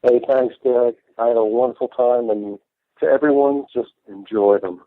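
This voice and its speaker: A man's voice: mid-range voice, fairly even intonation, normal speed, dry recording. An American man speaking casually as an interview guest over a thin, phone-like call. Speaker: podcast guest, artist.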